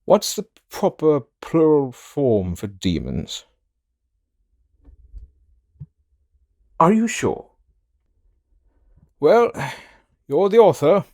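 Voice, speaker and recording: A man's voice: fairly high pitch, huge pitch swings, takes it slowly, long pauses, a little mellow, heavily acted. A man playing a sassy, self-absorbed fantasy villain in an audio drama, with a theatrical, drawling and melodramatic delivery. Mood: petulant, exasperated. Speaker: fan audio drama voice actor and narrator. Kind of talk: theatrical in-character outtakes.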